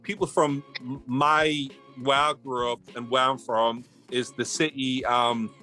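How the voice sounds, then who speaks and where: An animated man with a Geordie accent talks loosely and casually, circling back and repeating his points. His voice sits at an ordinary middle pitch. Faint music plays underneath. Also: unhurried. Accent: Geordie (Newcastle). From Newcastle, England. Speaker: guest. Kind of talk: casual podcast chat.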